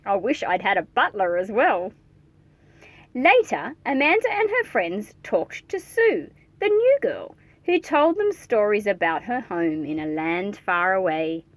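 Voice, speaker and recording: A woman reading a children's picture book aloud in an expressive, playful way, speaking directly to her young listeners with chatty asides. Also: mid-range voice, unhurried, dry recording.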